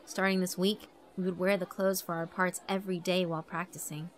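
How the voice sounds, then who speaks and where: A woman giving a measured, expressive, scripted narration of a horror story. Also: fairly low pitch, a faint crowd. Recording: background music under the voice.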